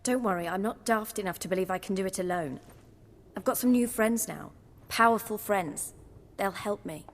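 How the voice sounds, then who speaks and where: A woman's voice: medium pitch, normal speed, lightly acted. Woman playing a bitter, sarcastic young noblewoman. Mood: resolute. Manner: cold, sharp, sarcastic. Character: bitter young noblewoman, estranged daughter, zealous. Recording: long hall reverb.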